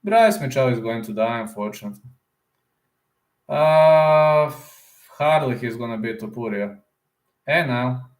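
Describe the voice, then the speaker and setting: Man, casual live stream chatter, rambling, middle pitch, roomy echo. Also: animated, unhurried, pauses often. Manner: rambling, answers viewer comments aloud; repeats words, often ends with "man". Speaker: combat sports YouTuber.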